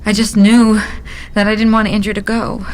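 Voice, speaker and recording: A woman's voice: medium pitch, flat delivery, normal speed, a little mellow, lightly acted. An actress in an audio drama playing a haunted, zealous young woman on a mission, intense and solemn. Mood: anguished. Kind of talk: audio drama acting, confessional monologue.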